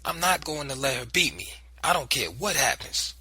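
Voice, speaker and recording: A man's voice: medium pitch, big pitch swings, normal speed, sharp tone, breathy edge, no echo, pitched above the performer's own voice, exaggerated voice. A man voicing a frightened, defiant boy pleading not to be beaten.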